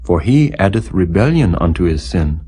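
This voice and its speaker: A man with a fairly low, mellow voice reads scripture solemnly from a script. His tone is husky and soft-edged, and his delivery is formal, measured and declamatory. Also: big pitch swings. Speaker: audiobook Bible reader.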